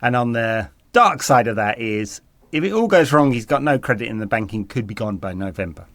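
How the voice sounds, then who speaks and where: A man co-hosting a football podcast, chatting casually with a slight cold that makes him a little raspy, often rambling and correcting himself. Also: medium pitch, animated, a little fast, a bit bright, dry recording. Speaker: podcast co-host.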